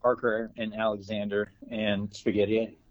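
Hesitant low-voiced man, muffled line. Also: little pitch movement, normal speed. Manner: reflective, hesitant. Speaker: musician guest. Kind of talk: casual interview answers.

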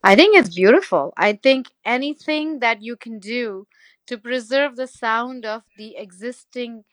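A woman from India speaks, her voice sitting fairly high. She explains things emphatically as a guest singer, spelling words out. The sound is dry and close. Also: a little slow, no room sound. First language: Gujarati. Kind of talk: interview conversation.

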